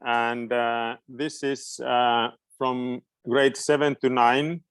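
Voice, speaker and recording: A man's voice: medium pitch, little pitch movement, takes it slowly, clear, resonant tone, dry recording. A middle-aged man from Finland, a native Finnish speaker, answering questions informally and hesitantly as he searches for words. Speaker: guest education expert.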